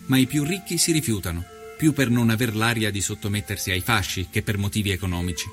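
Man acting as 19th-century journalist, earnest, medium pitch, measured storytelling, scripted travel-report narration, soft music behind. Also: natural voice. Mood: measured, descriptive. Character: earnest male 1890s journalist recounting his travels through Sicily. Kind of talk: scripted documentary narration, read as a first-person travel report.

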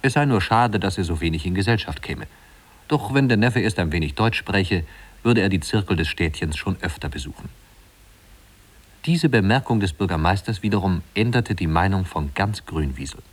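A man's voice: deep voice, expressive, normal speed, slightly rough. A man narrating a literary tale as the scripted storyteller of a 1950s radio play.

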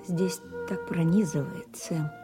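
An actress playing a caring, solicitous wife in a radio play, with a tender, concerned manner. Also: female voice, lowish voice, slow pace, music in the background.

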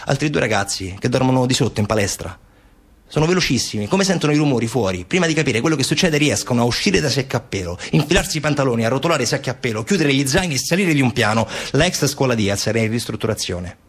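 A man's voice: mid-range voice, expressive, fast, husky. A man performing a theatrical narrative monologue, with emphatic, repetitive, incantatory phrasing. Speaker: stage actor and narrator. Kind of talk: dramatic theatrical monologue storytelling.

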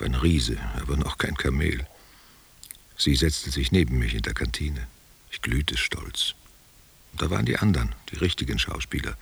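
Very deep, gravelly old man reminiscing. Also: exaggerated voice. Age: older. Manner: musing, rhetorical questions, intimate address. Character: old former actor and war veteran, reminiscing, tenderly condescending. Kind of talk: literary radio play monologue.

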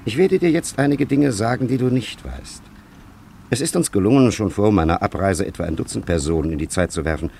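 A man's voice: fairly low pitch, lively intonation, a little fast, vocal fry, a little mellow, slight background noise. An actor playing a haughty time traveller from an ancient kingdom in a German radio play, condescending and pompous in his declamatory delivery. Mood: proud, lecturing.

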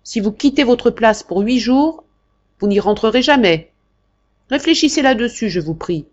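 A woman reading an audiobook, voicing an irascible old marquis who shouts and orders his secretary about. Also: a little high, animated, normal speed, muffled recording, voice pushed up. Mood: angry, imperious. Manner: blustering, imperious, raised voice. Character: irascible old male marquis, tyrannical employer.

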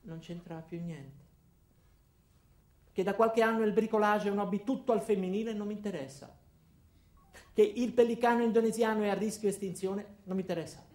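Attore dal tono asciutto, con eco. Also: male voice, high voice, fairly quick, pauses often, a little mellow, echoey room. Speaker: actor, monologue narrator. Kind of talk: first-person comic theatrical storytelling.

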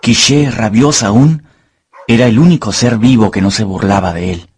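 A man narrating a dramatized radio novel as an expressive, deliberate storyteller. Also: lowish voice, slightly rough.

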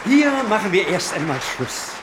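A man's voice: high voice, very animated, normal speed, a crowd in the background, background noise. A man announcing a radio drama in a theatrical, scripted style.

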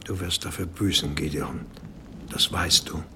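A man playing a stern, controlled monastery abbot who speaks gravely in a German audio drama. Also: low voice, fairly even intonation, sharp tone, a little hiss or hum, exaggerated voice. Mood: stern interrogation. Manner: grave, measured, questioning.

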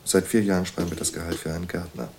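Very deep-voiced, matter-of-fact clinic doctor. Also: male voice, little pitch movement, rapid-fire, gravelly, a little mellow, a little hiss or hum. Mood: matter-of-fact, wry. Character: male psychiatric clinic doctor, matter-of-fact, dryly wry. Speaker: actor in an audio drama. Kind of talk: naturalistic audio drama dialogue.

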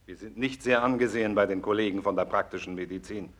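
An actor playing a disillusioned, blunt senior professor and mentor in a 1960s German radio play, with a wry, didactic delivery. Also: male voice, on the low side, fairly even intonation, quick pace, fairly bright tone, natural voice. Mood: bitter, resigned.

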